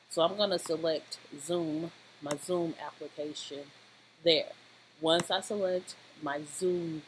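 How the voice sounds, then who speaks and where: A software tutorial presenter talking informally through the steps in a rambling, halting way, often checking in with a short question. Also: medium pitch. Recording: clearly noisy home-style voice-over recording.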